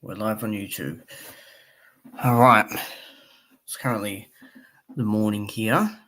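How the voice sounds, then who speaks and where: Male live streamer, croaky, low-pitched, loose conversational delivery full of fillers. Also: steady tone, takes it slowly, sharp tone. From Australia. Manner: rambling, many fillers, reads chat aloud. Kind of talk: casual live stream commentary.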